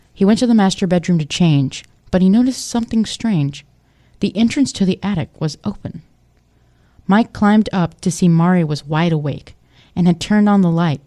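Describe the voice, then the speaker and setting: A woman's voice: fairly low pitch, moderate pace, dark tone. A woman giving scripted story narration for an audio drama.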